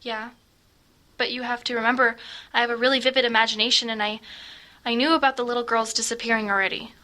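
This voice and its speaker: A troubled teenage girl in a spooky audio drama. Her voice is fairly high, bright and tense. She sounds fragile and guarded, speaking in halting, defensive phrases. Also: steady tone. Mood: shaken, fearful.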